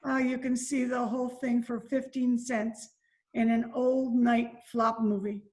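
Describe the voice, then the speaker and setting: A woman's voice: a little high, level tone, unhurried, a little mellow, close to an everyday voice, low-quality recording. A woman playing a faded, despairing former Broadway dancer and mother from Spain in an amateur radio drama, speaking with bitter drama. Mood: wistful, rueful. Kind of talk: amateur old-time radio drama acting. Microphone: video call recording.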